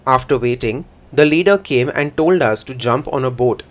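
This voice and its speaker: A man speaking a first-person voice-over interpretation at a middle pitch. His delivery is plain, even and measured, and he tells the account in short statements.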